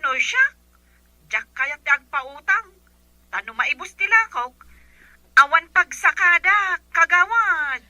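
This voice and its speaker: A woman's voice: extremely high pitch, animated, takes it slowly, long pauses, bright, forceful tone, no room sound, full character voice. A woman playing a complaining storekeeper making a phone call in a comedy drama. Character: shrill storekeeper phoning to tattle about a debtor. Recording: dull band-limited telephone-call sound.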